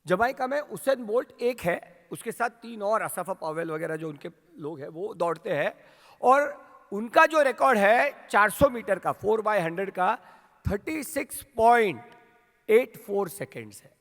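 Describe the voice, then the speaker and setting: A man with an Indian accent giving a rousing motivational speech to his company's sales team, asking the crowd questions and building to shouts. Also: sits high, very animated, measured pace. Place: large hall at a training event. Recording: long hall reverb.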